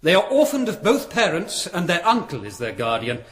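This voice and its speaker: A man's voice: sits high, wildly swooping pitch, normal speed, fairly bright tone, exaggerated voice. A man playing an earnest, troubled monk envoy who speaks formally and anxiously in a radio drama.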